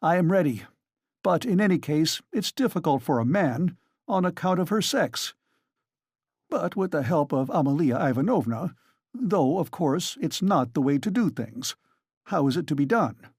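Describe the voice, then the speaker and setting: A reader as a smooth, reproachful accuser. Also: mid-range voice, lively intonation, moderate pace, dry recording, clean studio sound, pitched above the performer's own voice. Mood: flustered. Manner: soft, mock-kind, reproachful. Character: smooth, self-righteous man, a scheming accuser. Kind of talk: audiobook character reading.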